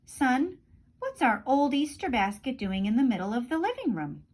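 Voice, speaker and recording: A woman's voice: high voice, sing-song, normal speed, voice pushed up. A woman voicing a kindly grown-up male mouse from a storybook, questioning and advising. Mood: curious.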